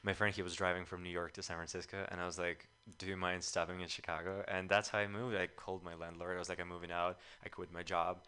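Young guy from ukraine, deep voice but bright, talks fast, chatty storyteller. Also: flat delivery, clear, resonant tone. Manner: chatty storyteller, quotes himself often. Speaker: musician guest. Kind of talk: casual interview chat. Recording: radio show studio.

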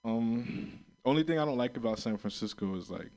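A man's voice: medium pitch, animated, a little fast, a little mellow. A man from Florida works through a stand-up set in a crude, laid-back way. He keeps chuckling at his own jokes and stands a little back from the stage mic. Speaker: stand-up comedian. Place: comedy open mic room. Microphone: stage mic held back from the mouth.

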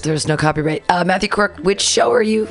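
A woman's voice: lowish voice, fairly quick, a loud crowd. A woman hosting a community radio show, chatting casually and telling rambling stories. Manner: chatty, rambling, animated retellings. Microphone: studio mic.

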